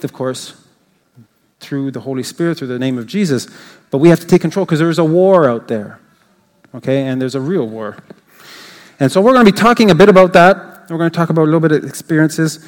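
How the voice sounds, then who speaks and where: Older Canadian man speaking in a church hall. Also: a little high. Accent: English Canadian. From English Canada. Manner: chatty, rambling, with frequent restarts and fillers. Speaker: guest speaker. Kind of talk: informal church talk.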